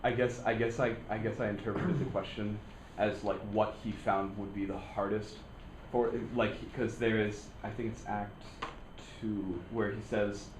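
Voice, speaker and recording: A man's voice: on the low side, fairly even intonation, normal speed, background noise. A young man from Texas with a light Texas accent, speaking hesitantly with many fillers in a class discussion. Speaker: student. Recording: echoey classroom.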